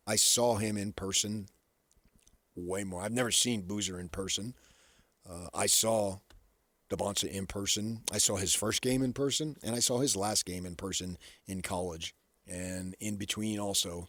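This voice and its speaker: American male sports radio co-host, deep register, conversational and halting delivery, dry studio sound. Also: vocal fry, a bit bright, no room sound. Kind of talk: casual sports talk commentary.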